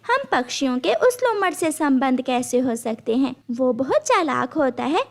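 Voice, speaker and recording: A woman's voice: sits high, sing-song, measured pace, heavily acted. A woman voicing a caring, worried little female sparrow in a children's cartoon, earnest and cautioning. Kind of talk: children's animal cartoon voice acting.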